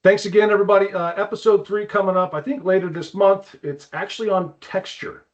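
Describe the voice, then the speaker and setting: A man hosting a podcast in a casual, chatty style with halting, informal phrasing. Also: high voice, fairly even intonation. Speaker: podcast host, climbing wall builder. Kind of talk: casual chat. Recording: muffled, dull lo-fi audio.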